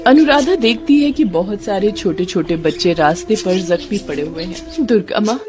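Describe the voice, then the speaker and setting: A woman reading a scripted children's moral story as narrator, in an expressive, dramatic storytelling manner. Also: medium pitch, normal speed, over music.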